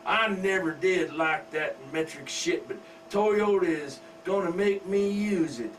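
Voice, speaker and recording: A man voicing a crude, indulgent, rich middle-aged country husband with a loud, coarse drawl. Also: high voice, unhurried, voice pushed up. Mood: gruff. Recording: distant mic with faint hum.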